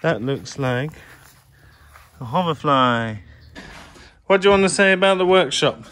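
A man giving a relaxed, chatty tour of his farm, filmed on a handheld phone outdoors and in sheds. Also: on the high side, measured pace. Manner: relaxed, gentle, chatty with a child. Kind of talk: casual walking farm tour vlog. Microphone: handheld phone camera.

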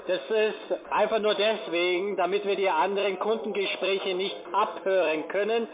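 High-voiced salesman on a noisy phone line. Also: steady tone, normal speed. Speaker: call centre sales agent. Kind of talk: casual telephone sales pitch. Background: loud music and crowd chatter.

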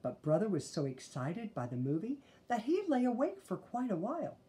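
A woman in her sixties narrates a picture book with a mellow tone and very lively melody. She reads expressively and drops in little asides to the listeners. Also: medium pitch, moderate pace. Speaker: storybook reader. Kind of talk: children's read-aloud narration. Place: small living room, indoors.

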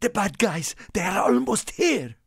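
A man voicing a small, excitable, friendly Italian car in a video game, theatrical and exclamatory, with an Italian accent and broken English. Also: high voice, unhurried, gravelly, slight background noise, full character voice. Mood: alarmed. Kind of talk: animated video game character lines.

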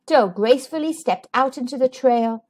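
A woman hosting and reading a children's storybook aloud, with sing-song delivery and drawn-out emphasis. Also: sits high, darkish tone. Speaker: children's storybook reader, channel host. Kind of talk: expressive read-aloud storytelling for young children.

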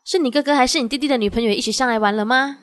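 A woman's voice: on the high side, racing, lightly acted. A young woman acting in a radio drama as a sweet, devoted girlfriend who is easily wounded. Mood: chirpy, casual. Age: young adult.

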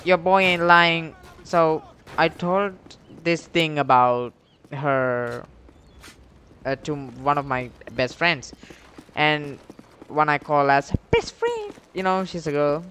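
A man's voice: on the high side, animated, slow pace, bright, forceful tone, a little hiss or hum. A young man casually telling a story while commentating on a game, rambling in slang with heavy swearing. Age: young adult. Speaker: gaming video creator telling stories over gameplay.

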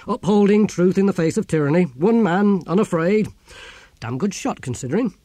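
A man's voice: high voice, big pitch swings, moderate pace, mellow, no room sound, pitched above the performer's own voice. A man voicing a blunt, wry old philosopher in an audiobook story, declaiming theatrically with dry humour. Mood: wry, pleased.

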